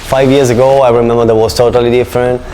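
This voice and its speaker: A man's voice: medium pitch, little pitch movement, fast talker, low-quality recording. A man with an Italian accent, a native Italian speaker, explaining things informally in a casual interview as a local shop owner. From Italy.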